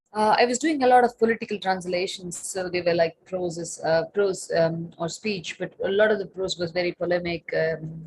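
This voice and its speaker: A woman's voice: lowish voice, slight background noise, poor audio. A woman in her late thirties, a Tamil native speaker, answering on a panel as a writer and translator, thoughtful, with many fillers and restarts.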